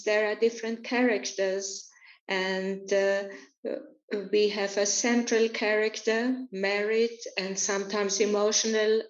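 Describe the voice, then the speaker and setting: A woman interpreting an interview into English, hesitant and full of filler sounds. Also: a little high. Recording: roomy, dull lo-fi recording.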